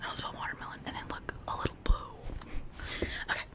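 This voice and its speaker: A woman speaking in a breathy whisper, rambling informally in a chatty ASMR style. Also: a little fast. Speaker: ASMR creator showing and unboxing toys.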